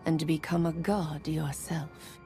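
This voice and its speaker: An actress playing a solemn prophetic seer in a video game, grave and measured in manner. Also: female voice, deep voice, level tone. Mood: solemn, foreboding. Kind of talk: video game cutscene acting. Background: faint game music.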